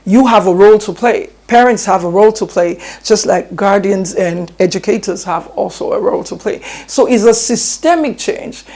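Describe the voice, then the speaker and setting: A woman's voice: medium pitch, moderate pace, few pauses, a little mellow. A woman with a Nigerian accent, answering interview questions in an earnest, emphatic way and often repeating her phrases. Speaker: education guest.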